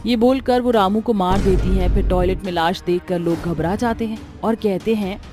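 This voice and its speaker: A woman's voice: medium pitch, moderate pace. A woman narrating a scripted cartoon horror story as its storyteller. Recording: music behind the voice.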